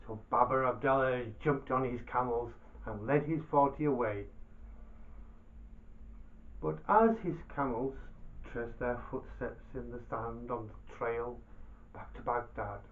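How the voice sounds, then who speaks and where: Male oral storyteller: dramatic, unhurried, short phrases with pauses, thin narrow-band sound. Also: medium pitch. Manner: deliberate, pausing between short phrases.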